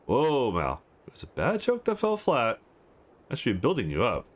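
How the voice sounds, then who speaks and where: A man's voice: medium pitch, huge pitch swings, moderate pace. A man voicing the wry, bantering male hero of a visual novel in a joking, sarcastic manner. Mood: apologetic.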